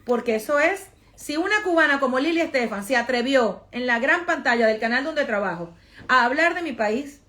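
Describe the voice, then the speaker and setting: High-pitched Venezuelan woman vlogging emphatically. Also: lively intonation, moderate pace, sharp tone. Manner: emphatic, repeats phrases for effect, colloquial. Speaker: social media influencer and vlogger. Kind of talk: casual get-ready-with-me vlog monologue.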